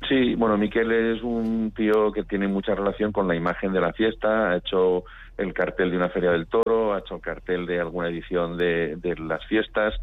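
A man's voice: sits low, steady tone, normal speed, no echo. A man from Pamplona with a northern Spanish accent, chatting casually and anecdotally as an interviewed filmmaker over a phone-like radio link. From Pamplona, Spain. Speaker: documentary filmmaker being interviewed.

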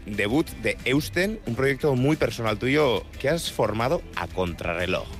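Guy from spain doing live radio reporting, creaky voice, stumbles and repeats a bit, music behind. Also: mid-range voice, animated, measured pace. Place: outdoors at a festival site before it starts.

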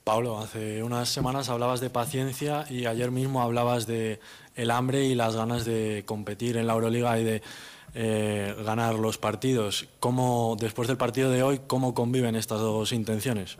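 A man journalist asking a coach a question at a press conference, then repeating it. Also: mid-range voice. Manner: flat, repeats question more slowly.

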